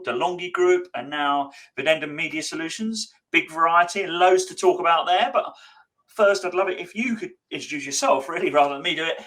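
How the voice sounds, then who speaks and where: A man's voice: a little high, sharp tone. A man hosting a live interview podcast, chatty and prone to stumbling restarts. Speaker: podcast host.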